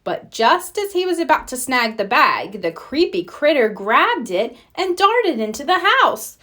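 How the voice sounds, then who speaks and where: High, animated woman reading to children. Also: unhurried. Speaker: storybook narrator. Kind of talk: expressive children's read-aloud.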